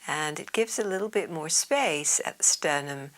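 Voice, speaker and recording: A woman yoga teacher leading a guided meditation in a soft, soothing, half-whispered way. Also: sits low, animated, moderate pace.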